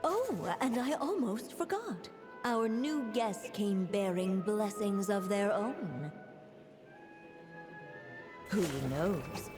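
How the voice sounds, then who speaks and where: A woman playing a solemn, gentle witch who narrates a fairy tale in a video game, measured and storytelling. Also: medium pitch, lively intonation. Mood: solemn, tender. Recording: long reverb under clear music.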